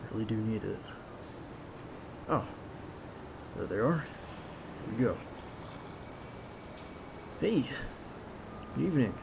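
A man playing a nervous, devoted bodyguard on a date in an intimate ASMR roleplay, speaking softly and hesitantly with some gentle teasing. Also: lowish voice, background noise. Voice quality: creaky. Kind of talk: ASMR roleplay acting, intimate one-sided dialogue.

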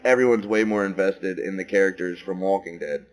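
A man co-hosting a podcast in a casual chat, laid-back and quick to laugh, with lots of fillers. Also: low voice, rough recording. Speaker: podcast co-host. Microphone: podcast microphone.

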